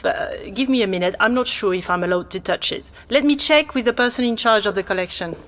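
A woman's voice: medium pitch, fast, a bit bright, lightly acted. An actress playing a helpful woman working at an exhibition in an audio drama, polite and a bit hesitant. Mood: hesitant, obliging. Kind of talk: naturalistic audio drama dialogue.

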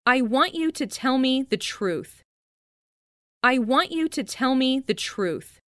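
A woman reads example sentences very slowly, enunciating each word. Her voice sits a bit high and swings with a lively melody. The sound is dry and close to the mic. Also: dry recording. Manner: careful, deliberate enunciation. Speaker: listening practice speaker. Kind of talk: slow read example sentences.

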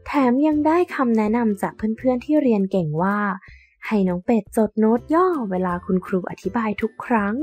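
Woman narrator, children's story, scripted delivery, mid-range pitch, music underneath. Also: a little mellow.

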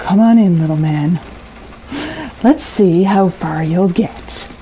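Female as a threatening, contemptuous guest in horror audio drama; deliberate pace, noisy ambient background. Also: on the low side, mellow. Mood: taunting. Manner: menacing, slow and deliberate, contemptuous. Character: volatile, threatening female short-term rental guest.

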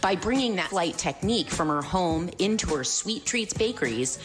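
A woman's voice: fairly low pitch, normal speed. A woman reporter narrating a news feature in a polished scripted voice-over. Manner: lively, polished. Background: music bed.

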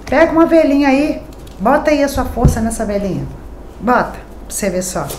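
A woman's voice: high voice, expressive, fairly quick, a little mellow. A middle-aged Brazilian woman giving a daily horoscope card reading to camera, chatty and emphatic, talking straight to her viewers. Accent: Brazilian Portuguese. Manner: chatty and emphatic, addressing viewers directly with tag questions. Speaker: tarot reader. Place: small decorated home studio room. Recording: echoey room with faint ambient noise.

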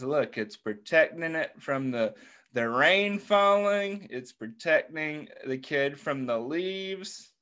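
Slow-talking American story time man. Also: a little high, fairly bright tone. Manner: encouraging, asking questions and praising listeners. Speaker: children's story time host. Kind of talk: interactive picture book read-aloud for young children. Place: indoors on a video call.

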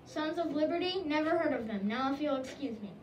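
A woman playing an evasive, hurried passerby. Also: sits high, expressive, a little hiss or hum. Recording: echoey room from far off.

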